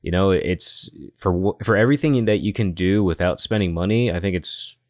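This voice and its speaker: A man's voice: deep voice, moderate pace, no room sound, studio quality. A man chatting casually as a co-host on a gaming podcast.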